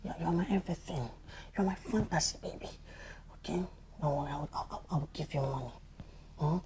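A woman's voice: deep voice, moderate pace, dark tone, slight background noise, lightly acted. A woman playing a stammering, begging husband. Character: pleading smitten husband, man, grown. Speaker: comedy skit actor. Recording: music playing underneath.

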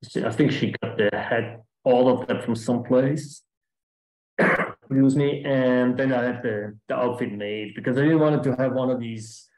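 A man's voice: mid-range voice, a little slow, darkish tone. Guest speaker: man, German accent, easygoing and rambling, roomy echo on a video call. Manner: chatty, rambling with restarts. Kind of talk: casual talk answering questions.

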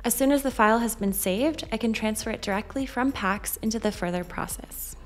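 A woman reading a scripted software demonstration in a measured, instructional way. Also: fairly high pitch. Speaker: software demo narrator. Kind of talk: scripted tutorial narration. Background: background music.

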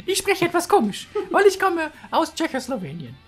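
A man voicing a female gym leader with a thick made-up foreign accent, in a high falsetto that keeps breaking into giggles. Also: wildly swooping pitch, normal speed, exaggerated voice. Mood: playful. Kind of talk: reading game dialogue aloud in a put-on voice.

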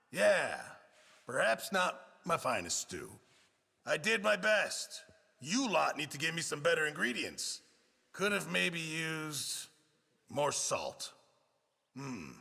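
Gravelly, huffy Western camp cook. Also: male voice, fairly high pitch, bright, forceful tone, long reverb tail, heavily acted. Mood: defensive. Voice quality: gravelly, husky. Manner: flustered, huffy, self-important. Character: put-upon middle-aged camp cook in a Western, touchy, grumbling. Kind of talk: video game character barks.

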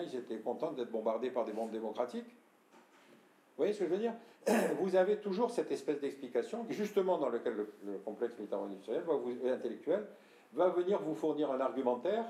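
An older man from France giving a talk to an audience, explaining and addressing listeners directly, often clearing his throat. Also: mid-range voice, sing-song, fairly quick, dark tone. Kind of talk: lecture with audience questions. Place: room with audience. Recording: echoey room.

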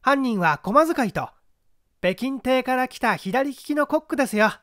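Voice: high voice, lively intonation, moderate pace, a bit bright, dry recording, clean studio sound, voice pushed up. A reader playing a confident young male detective, wry and commanding, in an audiobook mystery. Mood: cool, wry.

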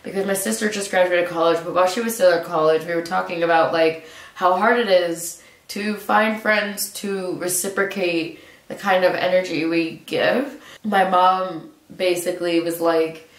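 A young woman with a stuffy nose, musing casually to camera in a relaxed way, with lots of filler words. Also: fairly low pitch, normal speed. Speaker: vlogger. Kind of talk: casual reflective monologue to camera. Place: small room indoors, seated in a hanging chair. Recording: roomy echo, mic at a distance.

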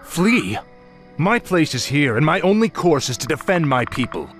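An actor playing a proud young warrior prince, defiant and imperious, in a video game cutscene. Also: male voice, on the high side, big pitch swings, normal speed, music in the background.

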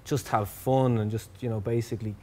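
A man's voice: mid-range voice, steady tone, a little fast, warm tone. An Irish man in his thirties chatting jokily as a comedy guest, often repeating words as he goes. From Ireland. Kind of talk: casual joking interview chat. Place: cluttered warehouse room, on a couch. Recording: faint background room noise.

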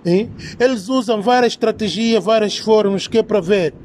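A man in his thirties with an Angolan accent giving emphatic, opinionated commentary to his phone, repeating himself and pausing to search for words. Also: high-pitched, darkish tone. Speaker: social media commentator. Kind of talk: opinionated vlog commentary to camera. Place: outdoors in an alley beside brick buildings. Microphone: phone at arm's length. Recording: clear outdoor street and traffic noise.

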